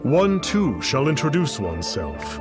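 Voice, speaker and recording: A slow, pompous false sage. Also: male voice, medium pitch, huge pitch swings. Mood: lofty. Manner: formal, archaic, refers to himself as one. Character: pompous self-styled male sage, grandiose. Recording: game audio with music.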